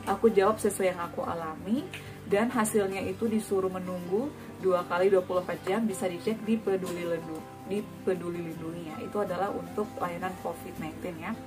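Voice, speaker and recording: A woman's voice: fairly low pitch, recorded from a distance. A woman in her thirties casually sharing a personal story, chatty, with frequent tag words and hesitations.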